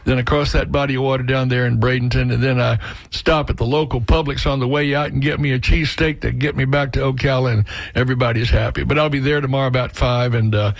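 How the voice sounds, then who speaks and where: A man's voice: mid-range voice, fairly even intonation, fast, vocal fry, no echo. Man chatting on air, close dry sound. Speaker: radio show host and musician. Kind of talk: casual on-air radio chat. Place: radio studio.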